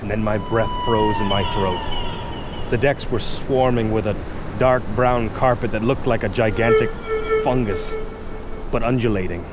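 An actor playing an intense lighthouse keeper who tells a horror tale in vivid old-time radio style. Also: male voice, fairly low pitch, a little slow, lightly acted. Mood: mounting dread. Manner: dramatic, vivid, suspenseful. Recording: noisy vintage radio recording.

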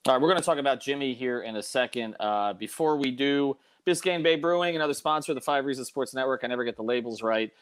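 A man's voice: medium pitch, quick pace, few pauses, clean tone. A man hosting a casual sports talk show, giving his opinions emphatically and reading sponsor plugs.

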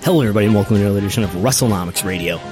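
An American man hosting a podcast, reading through news in a deliberate, announcer-like way. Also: lowish voice, over music. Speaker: podcast host.

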